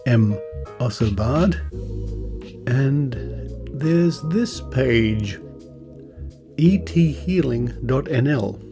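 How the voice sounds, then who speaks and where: An Australian man hosting a podcast, talking casually and reading aloud in a wry, skeptical manner. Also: mid-range voice. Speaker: podcast host.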